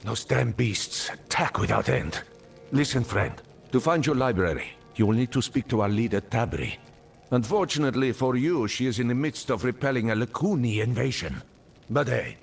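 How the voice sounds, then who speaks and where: A man's voice: medium pitch, expressive, moderate pace, vocal fry, slight background noise. An actor playing a self-serving desert rogue in a video game, with wheedling, theatrical, salesman-like patter. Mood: glib, persuasive. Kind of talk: video game character acting.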